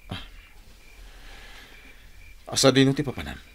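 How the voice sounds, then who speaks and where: A man's voice: medium pitch, sing-song, measured pace, creaky, slightly rough, exaggerated voice. A man playing a puzzled, worried younger brother in an Ilocano radio drama, subdued and questioning.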